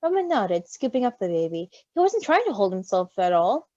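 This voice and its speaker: A woman reading a story in an animated way, with giggly, casual asides. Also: medium pitch, normal speed, dry recording. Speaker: story reader. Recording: slightly dull, close dry recording.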